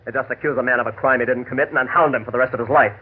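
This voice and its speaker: An actor playing a bitter, hostile American country tough in an old radio mystery, sarcastic and slangy. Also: male voice, mid-range voice, steady tone, rapid-fire, slightly rough, close to an everyday voice. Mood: bitter, sneering. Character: bitter hostile rural tough man with a gun. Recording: muffled, tinny old radio recording.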